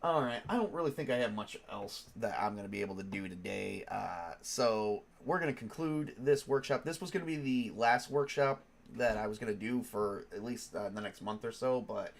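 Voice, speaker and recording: A man's voice: lowish voice, animated, normal speed, creaky, hardly any pauses. Man, stuffed-up nose, casual stream chat, rambling, game master. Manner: rambling, lots of ums and restarts. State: stuffed up from a cold.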